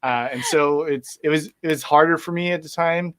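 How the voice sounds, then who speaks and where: Relaxed rambling man in conversation. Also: fairly high pitch, normal speed. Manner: relaxed, rambling, many fillers and restarts. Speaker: guest musician. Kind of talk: casual interview conversation.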